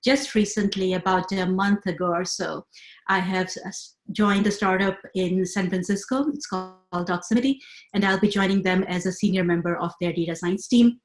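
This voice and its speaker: Pakistan-born woman lecturing in an echoey room. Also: mid-range voice. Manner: clear, measured lecturing with frequent fillers. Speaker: webinar speaker. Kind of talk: presenting a webinar talk.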